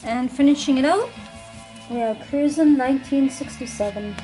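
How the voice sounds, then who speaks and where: A woman chatting casually about her record collection, rambling with many ums, her voice hoarse and tired late at night. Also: high-pitched, moderate pace, mellow, soft background music, rough recording. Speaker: vinyl collector vlogger. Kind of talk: casual show-and-tell vlog.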